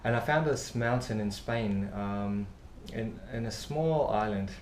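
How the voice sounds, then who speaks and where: A middle-aged man from South Africa, an author and travel writer, telling stories in a relaxed interview with lots of fillers. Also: fairly low pitch, unhurried. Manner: rambling, warm storytelling with laughs and many fillers. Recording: echoey room with faint noise.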